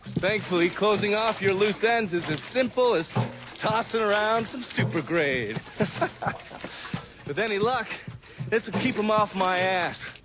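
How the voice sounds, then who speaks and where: An actor playing a sardonic, scheming American fixer in a game cutscene, wry and self-assured. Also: male voice, high voice, lively intonation, slow pace, pauses often, background noise. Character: sardonic, scheming male fixer who relishes revenge. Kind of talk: dramatic game cutscene acting. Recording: music under the voice.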